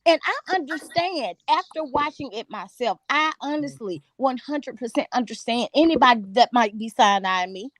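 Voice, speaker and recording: A woman's voice: a little high, expressive, normal speed, hardly any pauses. A woman being interviewed, speaking candidly and earnestly as she owns up to her part. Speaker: interview guest. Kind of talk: casual interview chat.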